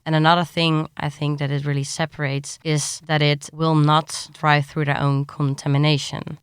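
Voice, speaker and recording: Female interviewee, clear crisp voice, explanatory and conversational, very dry sound. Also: sits low, no echo. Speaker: science journalist, interviewee.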